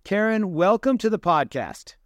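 A man's voice: high-pitched, sing-song, normal speed, no echo, clean studio sound. A man hosting a podcast, who introduces his guest and asks friendly questions. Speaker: podcast host. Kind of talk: interview hosting.

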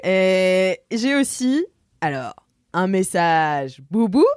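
A woman's voice: fairly low pitch, very animated, takes it slowly, darkish tone, smooth, no room sound, studio quality. A woman hosting a casual podcast, chatty and laughing often as she reads listener messages aloud. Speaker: podcast host.

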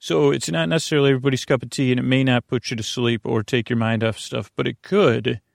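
A man hosting a bedtime podcast in a creaky, soothing voice, meandering hesitantly through tangents and interrupting himself. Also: mid-range voice, fairly even intonation, quick pace, no echo, clean studio sound. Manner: soothing, lulling. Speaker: podcast host. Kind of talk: rambling bedtime monologue meant to lull to sleep.

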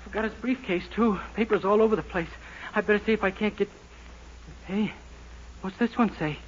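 An actor playing a naive, eager young cab driver in a 1940s radio situation comedy, earnest and rambling. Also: male voice, high-pitched, fairly quick, close to an everyday voice. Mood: puzzled, excited. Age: young adult. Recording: dull scratchy 1940s radio recording.

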